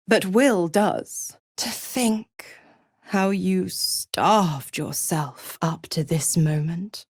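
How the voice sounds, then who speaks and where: A woman's voice: mid-range voice, a little mellow, breathy edge, no echo, clean studio sound, exaggerated voice. An actress playing a seductive, scheming female devil in a video game, mocking and purring with condescending endearments. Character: seductive, scheming, gloating female devil who makes pacts. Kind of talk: theatrical game character dialogue.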